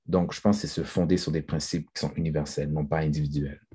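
A man moderating a group discussion, relaxed and mixing in English phrases. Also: rumbling low, quick pace, breathy edge.

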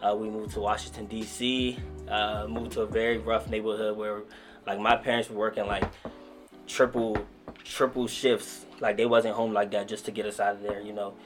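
A man in his twenties from Nigeria, a guest rapper telling stories in relaxed, slangy casual talk. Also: fairly low pitch, normal speed, over music. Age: 20s. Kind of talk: casual podcast interview.

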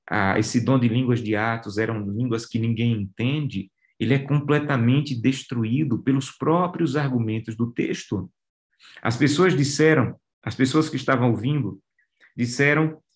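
A man's voice: medium pitch, a little mellow. Emphatic male preacher. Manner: emphatic, rising for stress. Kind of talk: radio sermon.

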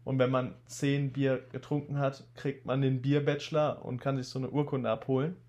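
A man's voice: mid-range voice, moderate pace. Young man with a slight cold. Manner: relaxed storytelling, laid-back slang. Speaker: podcast co-host. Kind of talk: casual chat.

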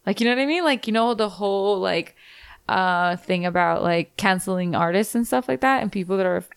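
A woman co-hosting a podcast in casual chat, blunt and quick to swear. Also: mid-range voice, creaky, clean tone. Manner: blunt, swears freely, rambling. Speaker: podcast co-host.

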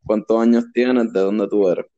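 A man's voice: lowish voice, steady tone, normal speed, dark tone, no echo, lo-fi sound. A twenty-one-year-old man with a Puerto Rican accent hosting a podcast, asking questions in easygoing slang and laughing often. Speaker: podcast host. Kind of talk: casual interview.

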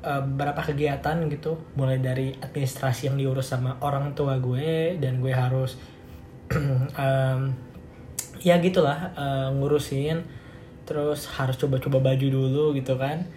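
A man's voice: mid-range voice, fairly even intonation, moderate pace, warm tone. A teenage boy, hosting a casual solo podcast full of fillers and restarts. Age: teens. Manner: rambling, many fillers and restarts, laughs often. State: slightly hoarse. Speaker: podcast host. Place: home room with music playing. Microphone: home recording setup. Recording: echoey home room, faint noise.

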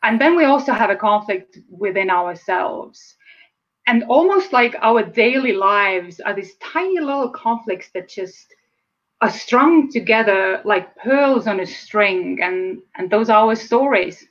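A woman in her forties with an Estonian accent, speaking as an interview guest in a measured, reflective way, slowing to stress key words. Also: mid-range voice. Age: 40s. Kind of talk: casual interview chat. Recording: slightly dull lo-fi sound.

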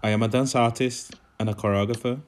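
A man from Brazil, a dance artist, narrating a calm guided walk with soft music behind. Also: medium pitch, fast talker, a little hiss or hum.

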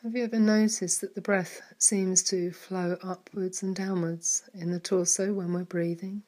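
A woman leading a guided mindfulness meditation in a soft, calm manner, with some words trailing into a whisper. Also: mid-range voice, unhurried.

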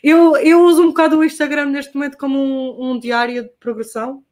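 A woman's voice: sits high, flat delivery, fairly quick, a little mellow. A young adult woman from Portugal with a Portuguese accent, chatting casually and hesitantly as a guest, with slang and English terms mixed in. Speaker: guest skater. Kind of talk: casual chat.

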